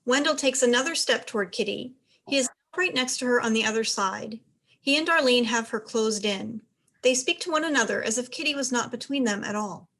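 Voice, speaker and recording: A woman's voice: fairly high pitch, brisk, fairly bright tone. A woman giving scripted narration, reading out the stage directions of a play.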